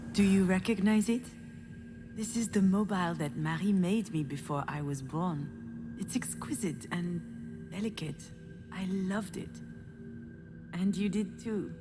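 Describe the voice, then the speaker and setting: A woman's voice: lowish voice, unhurried, over music, lightly acted. An actress voicing a reflective, wistful woman who recalls her childhood in a conversational way, in a visual novel game. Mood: fond. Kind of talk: visual novel voice acting, reminiscing narration.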